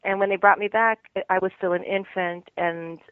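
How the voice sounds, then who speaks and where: A woman's voice: mid-range voice, steady tone, normal speed, clean tone, dry recording, narrow, tinny audio. An American woman author being interviewed, speaking in a thoughtful way, searching for words and laughing often. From United States. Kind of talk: reflective interview talk.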